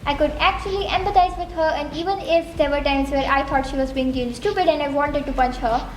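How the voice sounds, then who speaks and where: A young woman from India with an Indian accent, giving a candid, chatty TV show review. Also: sits high. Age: young adult. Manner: candid, chatty, lots of "I think". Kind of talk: casual opinionated review. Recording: echoey room.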